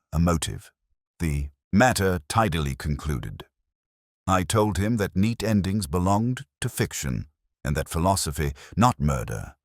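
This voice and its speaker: A man narrating a scripted first-person detective mystery in a measured, solemn, literary manner. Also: low voice, no echo, clean studio sound.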